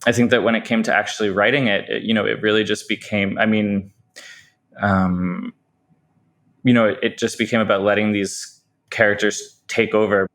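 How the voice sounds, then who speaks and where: A man's voice: lowish voice, flat delivery, normal speed, a bit bright. A man speaking as a playwright in a thoughtful interview, hesitant and often correcting himself as he searches for phrasing.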